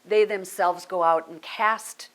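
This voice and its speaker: A woman's voice: lowish voice, fairly even intonation, slow pace, low-quality recording. An American woman, a theatre director, talking casually in an interview. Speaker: artistic director of radio theatre.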